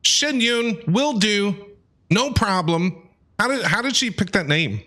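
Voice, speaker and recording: A woman game streamer giving casual, chatty live commentary with quick, repeated short reactions. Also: mid-range voice, animated, sharp tone. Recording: game music behind the voice.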